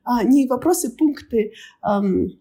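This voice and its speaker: A woman's voice: fairly high pitch, lively intonation, slow, warm tone, husky. A woman from Kazakhstan, a guest agile coach, chatting animatedly in an interview with frequent laughs and fillers. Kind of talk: casual interview chat.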